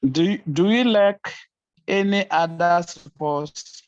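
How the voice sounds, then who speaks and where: Guy student on a muffled video call, slow, short halting answers. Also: high voice, clean tone, no echo. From South Africa.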